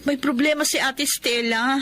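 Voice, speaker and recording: An actress playing a worried, respectful younger sister in a radio drama, speaking in a hesitant, halting way. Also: female voice, sits high, steady tone, fairly bright tone, slight background noise. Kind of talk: Filipino radio drama acting.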